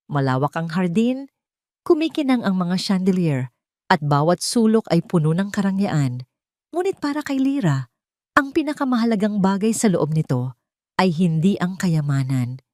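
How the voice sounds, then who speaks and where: A woman's voice: lowish voice, a little mellow, no echo, clean studio sound. A woman with a Filipino accent reading a scripted, dramatic audio story as its narrator.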